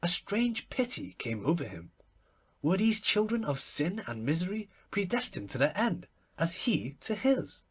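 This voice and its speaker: A man narrates classic literary fiction with a very husky, breathy voice set a little high. His delivery is measured and expressive, with lively rises and falls that shape each phrase of the story. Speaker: audiobook narrator.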